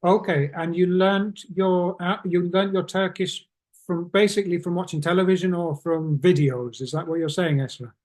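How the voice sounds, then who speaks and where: A man teaching an online English class, asking slow, encouraging questions with many pauses. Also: high voice, steady tone, darkish tone.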